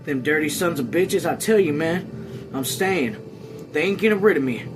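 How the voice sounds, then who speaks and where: Man acting a truculent farm man, raised pitch. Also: expressive, normal speed, music in the background, lightly acted, rough recording. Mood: angry, defiant. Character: truculent, stubborn rural farm man, defiant and profane.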